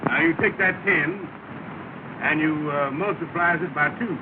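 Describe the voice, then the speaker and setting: An actor playing a smooth-talking, scheming lodge brother in an old film comedy, wheedling and hedging with grand words. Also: male voice, on the high side, measured pace, natural voice. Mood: slyly confident. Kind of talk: 1930s film comedy acting. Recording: noisy, tinny 1930 film soundtrack.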